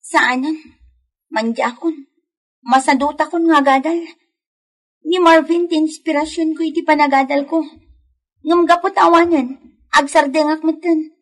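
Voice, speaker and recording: Very high voice, whiny, defiant teenage girl, heartbroken, radio drama. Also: fairly even intonation, measured pace, long pauses. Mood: sullen. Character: rebellious, heartbroken teenage daughter, stubborn and defiant.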